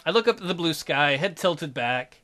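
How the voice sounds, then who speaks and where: A man's voice: fairly high pitch, expressive, moderate pace, pushed and bright. A man reading visual novel narration aloud as a dramatic let's play narrator, with music behind him.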